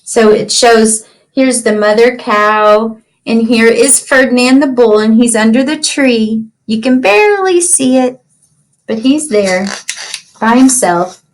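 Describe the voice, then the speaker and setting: Slow, high-voiced woman reading to children. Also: low-quality recording. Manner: simple, explanatory, checks in with young listeners. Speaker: teacher reading aloud to children. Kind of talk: children's storybook read-aloud with explanations.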